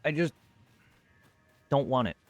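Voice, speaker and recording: A man of middling pitch talks off the cuff to viewers on a livestream. He is blunt and repeats himself, with some swearing. The sound is dry, with faint music behind him. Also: sing-song, unhurried, dry recording. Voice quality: normally very quiet voice. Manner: profane, blunt, repetitive. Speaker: live streamer. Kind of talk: unscripted livestream talk to chat.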